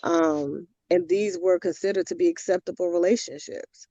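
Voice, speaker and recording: A man's voice: sits high, fairly even intonation, normal speed, warm tone, dry recording. A middle-aged man whose first language is Finnish, a therapist explaining his views at length in a panel debate, on dull, dry lo-fi audio. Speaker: therapist guest.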